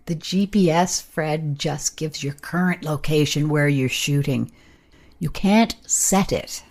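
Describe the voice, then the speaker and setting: A woman playing an excitable, practical camera operator in a radio play, talking in a casual, emphatic way. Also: sits low, slow pace, full character voice. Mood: hesitant, resigned. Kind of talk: radio play, naturalistic dialogue.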